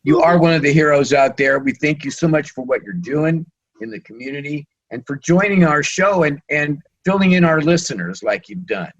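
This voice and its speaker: A man hosting a radio interview, halting as he searches for words, with a voice that is starting to give out. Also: a little high, animated, muffled recording. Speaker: radio show host.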